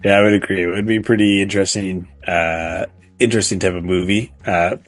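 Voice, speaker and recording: A man co-hosting a casual gaming chat, halting and often repeating himself. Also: low-pitched, steady tone, dry recording. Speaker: podcast co-host. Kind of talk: casual chat about video games.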